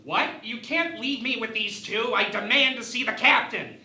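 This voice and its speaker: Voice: mid-range voice, moderate pace, pushed and bright. An actor playing an indignant, imperious alien ambassador in a sci-fi parody radio play, with a haughty, sarcastic manner. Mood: indignant, demanding. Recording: roomy, faint background noise.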